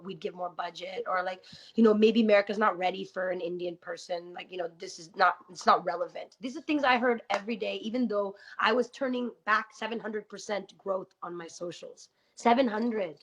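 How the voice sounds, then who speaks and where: A woman guest talking candidly in a radio interview, earnest and quick, with many "you know" fillers. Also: medium pitch. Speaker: guest rapper. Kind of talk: casual interview talk with rapped verses. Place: radio studio. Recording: muffled lo-fi sound.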